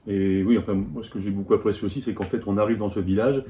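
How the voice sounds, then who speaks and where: Man, deep pitch, warm dark timbre, casual travel storytelling. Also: fast, a little hiss or hum. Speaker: travel vlog co-narrator.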